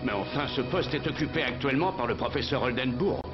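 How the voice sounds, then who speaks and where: Lo-fi cartoon sound with loud music under the voice. A man acts an indignant male official with a medium-pitched voice. He protests with open disapproval. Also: a little fast, close to an everyday voice. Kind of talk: French cartoon dub acting.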